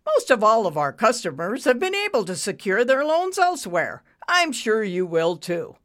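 A woman's voice: on the high side, very animated, moderate pace, voice pushed up. A woman voicing a rude, arrogant male bank boss in an audiobook, barking and dismissive. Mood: smug, dismissive. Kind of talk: audiobook character voice.

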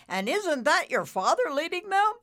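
A woman voicing a huge, loyal bull-like male storm cloud in a story, loud and declaiming. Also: mid-range voice, expressive, a little slow, pitched above the performer's own voice. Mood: proud, heralding.

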